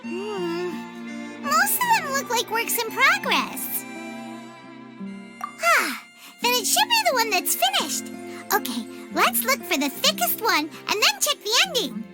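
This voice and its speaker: A woman voicing a tiny, cheerful, childlike fairy companion in a video game, with a squeaky voice and a perky, blunt way of talking. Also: wildly swooping pitch, takes it slowly, loud music behind the voice, heavily acted. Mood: curious, excited. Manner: exclaiming, refers to self in third person. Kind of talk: animated video game acting.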